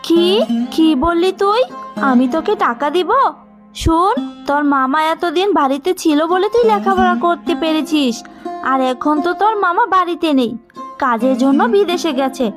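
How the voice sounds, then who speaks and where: A bullying, miserly cartoon aunt, voiced by a woman. Her voice is high and her tongue sharp as she scolds, over background music. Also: normal speed. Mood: scornful. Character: harsh, scolding, miserly aunt of orphan girl. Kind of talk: Bengali cartoon drama dubbing.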